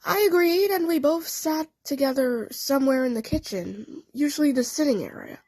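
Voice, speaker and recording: A woman narrating a scripted first-person story, with halting phrasing and odd pauses. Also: high-pitched, lively intonation, darkish tone, no room sound, rough recording. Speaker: narrator of a fan audio play.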